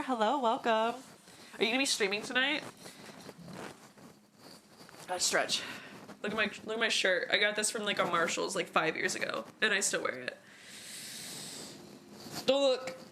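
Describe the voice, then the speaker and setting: A young woman live-streaming while she models in 3D, musing slowly and chatting with viewers, her voice going hoarse. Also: mid-range voice, a bit bright, slight background noise. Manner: slow, musing, thinking aloud, greets chat. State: losing her voice.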